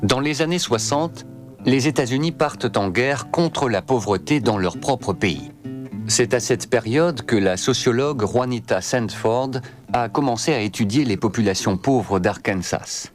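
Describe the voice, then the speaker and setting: A man's voice: medium pitch, animated, a little slow, music in the background. A man reading scripted narration for a documentary.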